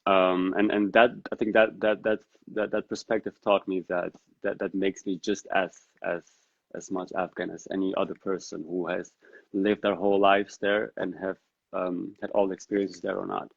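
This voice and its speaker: Flat-voiced man, thinking aloud. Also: sits low, moderate pace, vocal fry, no echo. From Netherlands. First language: Dari. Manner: reflective and earnest, thinking aloud. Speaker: podcast guest. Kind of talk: casual interview conversation. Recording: dull lo-fi sound.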